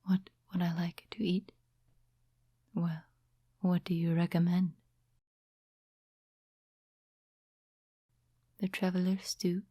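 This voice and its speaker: Woman playing a hushed, breathy fantasy witch. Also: lowish voice, no room sound, clean studio sound. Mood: polite, expectant. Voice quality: breathy, whispery soft voice. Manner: unhurried, gentle, formal storybook phrasing. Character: soft-spoken female witch, composed, courteous, quietly mysterious night owl. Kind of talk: soft-spoken ASMR roleplay.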